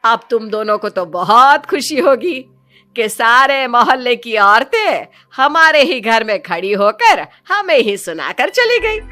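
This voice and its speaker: Woman playing a bright, stern mother-in-law. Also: fairly high pitch. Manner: scolding, authoritative. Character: middle-aged mother-in-law, stern but fair family matriarch. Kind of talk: animated storybook dialogue.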